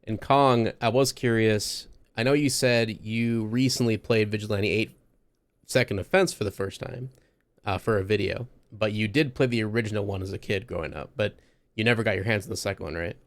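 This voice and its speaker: A man's voice: on the low side, steady tone, fairly quick, dry recording. A man hosting a casual podcast chat, talking enthusiastically and rambling a little with restarts. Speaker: podcast host.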